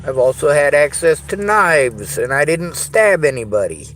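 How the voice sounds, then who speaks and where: A tired man with a Southern US accent, talking slowly in a rambling monologue to camera. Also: a little high, very animated, fairly bright tone, a little hiss or hum. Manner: slow, tired, drawling delivery. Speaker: vlogger.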